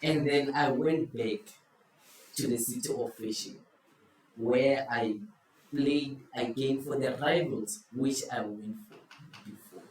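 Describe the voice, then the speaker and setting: A man's voice: medium pitch, darkish tone. Male quiz host, playful clue-giver, off-mic in a roomy space. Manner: playful, drawn-out clue-giving. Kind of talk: casual group chat, posing football riddles. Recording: echoey room, far from the mic, faint noise.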